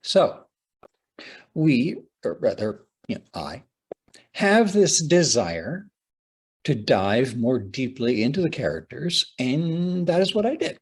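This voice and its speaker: A man delivers a prepared lecture in a husky voice. His pitch moves widely as he speaks, with dramatic, deliberate phrasing and wry, opinionated asides. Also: very animated. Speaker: playwright giving a talk on adaptation.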